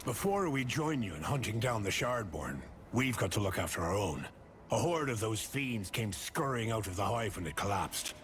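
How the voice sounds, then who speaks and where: A man voicing a steady, protective fighter loyal to his people in a video game. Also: fairly low pitch, normal speed. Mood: firm, concerned. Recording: clear game noise behind voice. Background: game ambience.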